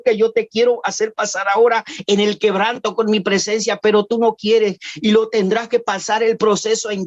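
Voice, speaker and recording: A man's voice: sits high, no echo. A man preaching a prophecy, declaiming lines as if quoting God and repeating a refrain after each one. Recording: dull lo-fi dry recording.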